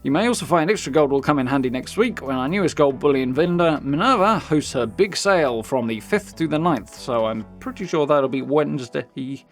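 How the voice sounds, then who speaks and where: A man's voice: fairly high pitch, expressive, a little fast, few pauses. A man with a British accent hosting a gaming news video in a chatty, upbeat, informal way, part commentary and part reading aloud. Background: background music.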